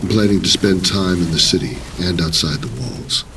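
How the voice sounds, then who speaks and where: Man acting a grave veteran leader, deep voice, formal and earnest, audio drama, far from the mic, faint noise. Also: expressive, normal speed, a bit bright. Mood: resolute. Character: solemn veteran male military commander turned spiritual leader.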